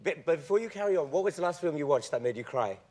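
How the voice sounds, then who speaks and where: A man's voice: a little high, expressive, racing, a crowd in the background. A man co-hosting and putting questions to guests in a live panel interview. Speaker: co-host, interviewer. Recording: live theatre with audible audience. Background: audience.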